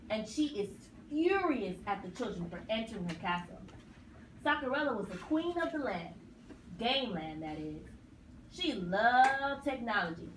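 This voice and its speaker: A woman narrating a scripted children's puppet story. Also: on the high side. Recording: roomy, distant mic, clear noise.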